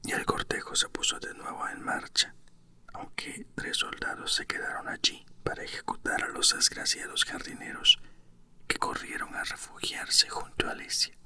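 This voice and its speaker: A man with a Spain accent reading a children's story aloud in a breathy, unvoiced whisper, in the manner of an ASMR narration. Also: moderate pace, pushed and bright, no room sound.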